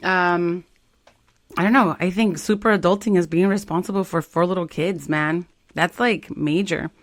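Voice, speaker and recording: A woman in her forties co-hosting a casual chat show, animated and playful, jumping into high quoted voices. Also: normal speed. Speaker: podcast co-host.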